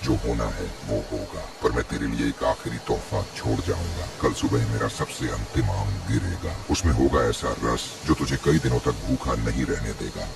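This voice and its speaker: A man voicing an ancient, solemn tree spirit in a cartoon, a sorrowful and gentle fatherly guardian with a gravelly voice. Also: rumbling low, normal speed, hardly any pauses, far from the mic. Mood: resigned, tender. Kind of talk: cartoon voice acting.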